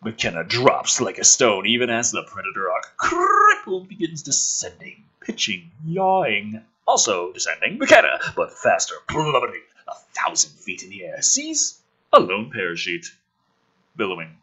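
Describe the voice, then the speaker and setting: A man performs a dramatic script reading with sarcastic commentary. His lowish voice is husky yet forceful, and his emphasis is theatrical. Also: wildly swooping pitch, a little slow, low-quality recording. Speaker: host reading a film script aloud.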